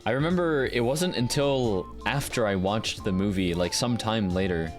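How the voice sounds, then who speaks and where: A man's voice: lowish voice, moderate pace. A man who works as a voice actor, chatting casually and playfully to his livestream audience in a musing way. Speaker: voice actor and live streamer. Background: soft plucked guitar music.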